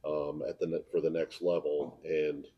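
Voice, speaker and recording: An American man, a local broadcaster, talking informally and answering questions in a plainspoken, halting way. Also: rumbling low, little pitch movement, husky, lo-fi sound. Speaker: local sports broadcaster and video producer.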